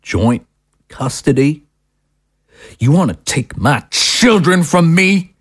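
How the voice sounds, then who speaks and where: Woman acting a low, indignant, possessive husband. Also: animated, slow, slightly rough, no room sound, clean studio sound, heavily acted. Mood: disbelieving. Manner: indignant, confrontational, emphatic. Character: angry, possessive husband and father in a marital fight. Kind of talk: screenplay-style audio drama acting.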